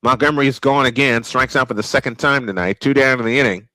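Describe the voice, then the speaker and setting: A man's voice: medium pitch, fast, bright, forceful tone, dry recording, poor audio. A man calling a baseball game live in an old-school play-by-play style, with short clipped calls and chatty asides.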